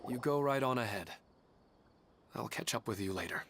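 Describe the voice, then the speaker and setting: An actor playing an ordinary middle-aged father, speaking softly, earnest and wistful. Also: medium pitch, lively intonation, moderate pace, a little hiss or hum.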